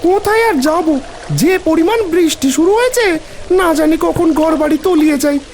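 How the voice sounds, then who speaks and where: A woman's voice: very high-pitched, a little fast, darkish tone, a little hiss or hum. A woman voicing a worried boat-going villager in a fairy-tale cartoon.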